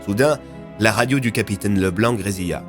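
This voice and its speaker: A man narrates a scripted audio fiction. His voice sits on the low side, with faint music running beneath it. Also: a little fast.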